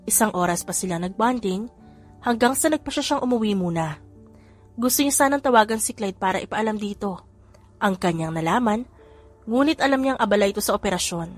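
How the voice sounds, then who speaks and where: A woman narrating a scripted romance audio drama in a steady, measured reading. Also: mid-range voice, faint music behind. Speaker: storyteller.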